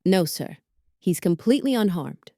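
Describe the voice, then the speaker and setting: A woman's voice: fairly low pitch, animated, normal speed, warm tone, dry recording, studio quality. A woman playing an earnest, conscientious lab assistant in her thirties in an audio drama, speaking formally. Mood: businesslike. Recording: music behind the voice.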